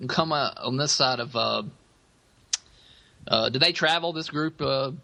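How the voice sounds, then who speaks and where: A man co-hosting a podcast, chatting casually in a halting, informal, folksy manner. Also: mid-range voice, gravelly, sharp tone. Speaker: podcast co-host. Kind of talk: casual chat.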